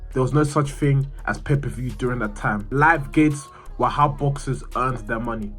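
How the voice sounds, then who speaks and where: Male explainer-video narrator, mid-pitched, reading from a script with the odd stumble, music underneath. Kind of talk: scripted narration, read aloud. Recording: music behind the voice. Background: background music.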